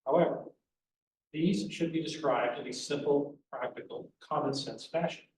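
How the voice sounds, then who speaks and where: A man serving as competition judge, reading out rules in a measured way with frequent fillers. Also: on the high side, a little mellow, far from the mic. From United States. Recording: echoey room heard from afar, faint noise.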